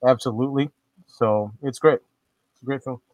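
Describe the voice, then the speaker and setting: A man's voice: mid-range voice, steady tone, moderate pace, a little mellow, no echo. A man co-hosting a casual podcast, rambling through a horror movie review with frequent restarts and casual swearing. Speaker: podcast co-host.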